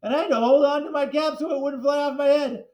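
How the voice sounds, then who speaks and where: A man reading a children's book aloud in an animated storytelling style. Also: very high-pitched, fast talker. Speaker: story reader. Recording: roomy, echoey sound.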